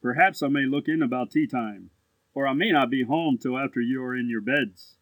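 A man's voice: medium pitch, moderate pace, no room sound, phone-quality sound, natural voice. A man playing a languid, condescending grown-up older brother in a storybook, with pompous courtesy. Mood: aloof, courteous. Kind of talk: storybook dialogue acting.

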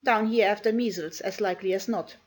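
A woman voicing a stern, sharp-tongued woman servant who scolds children. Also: medium pitch, fairly quick, husky, natural voice. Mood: scolding.

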